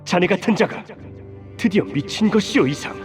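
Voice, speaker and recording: An actor playing an urgent, exasperated, commanding gentleman with old-fashioned speech in a game drama. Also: high-pitched, moderate pace, music in the background, natural voice.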